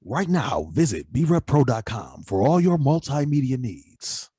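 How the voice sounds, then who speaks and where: A man doing a husky, dark commercial announcer. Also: mid-range voice, sing-song, measured pace, no echo, clean studio sound. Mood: promotional. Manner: punchy promo delivery, rising and falling. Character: smooth male commercial announcer. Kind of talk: commercial voiceover read.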